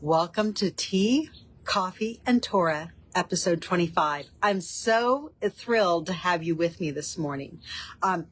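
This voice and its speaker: A woman's voice: on the low side, animated, measured pace. A woman hosting a casual teaching show on ancient scripture, her voice stuffy and nasal from a cold. She talks earnestly, with frequent ums and restarts. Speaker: host of a Torah study show. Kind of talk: informal teaching talk.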